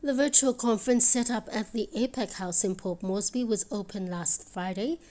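A woman reads a news script as a reporter, steady and crisp. Her voice is mellow and mid-pitched. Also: normal speed. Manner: crisp, even news-read delivery.

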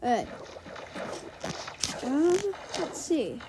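A woman's voice: high-pitched, extremely slow, noisy background. A young woman with a sick, nasal voice chatting casually to viewers over her gameplay. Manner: chatty, easily excited, talks to viewers. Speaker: Minecraft let's player.

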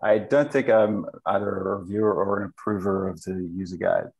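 Low-voiced man hosting a video meeting. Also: normal speed, gravelly, darkish tone, breathy edge, muffled recording. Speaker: meeting host. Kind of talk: casual meeting chat. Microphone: video call.

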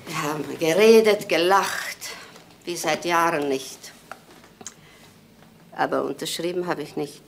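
A woman's voice: low-pitched, measured pace, pauses often, slight background noise. An actress playing a wistful, stubborn old mother in a crime drama, rambling and repeating herself in short phrases. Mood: wistful, cheerful. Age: older.

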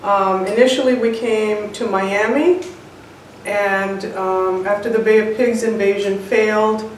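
A woman in her sixties telling her personal story in an interview, measured and reflective, with pauses. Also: mid-range voice, fairly even intonation. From United States, born in Cuba. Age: 60s. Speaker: interviewed home cook and author. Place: indoors, bright home room with large windows. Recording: very echoey room, distant mic, faint noise.

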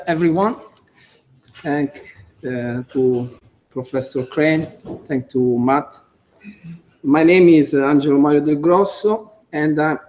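Italian-accented man, engineer at a podium, slightly high and mellow, halting delivery read off notes. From Italy. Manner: careful, halting, with frequent "uh" fillers and small slips. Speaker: conference speaker, computer engineer. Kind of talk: conference talk read from notes. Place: conference room.

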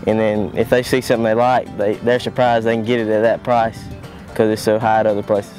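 A man's voice: on the low side, flat delivery, a little fast, darkish tone, low-quality recording. A young man with a Southern US accent giving a documentary interview outdoors on a lapel mic. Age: teens to young adult. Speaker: family auction worker. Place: outdoors by a road. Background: faint guitar music.

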